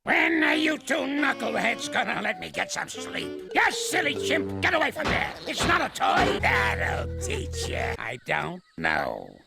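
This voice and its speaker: A grouchy old ape from a cartoon, barking sarcastic insults at everyone around him. His voice is gravelly and full of creak, and it leaps all over the place in pitch. Music plays loudly behind him. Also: huge pitch swings, unhurried, hardly any pauses, full character voice. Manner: scolding, sarcastic, barks insults. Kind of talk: cartoon voice acting.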